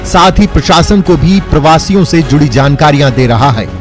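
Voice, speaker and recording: A man's voice: on the high side, expressive, moderate pace, music in the background. A man with an Indian accent giving a scripted news voice-over as a reporter.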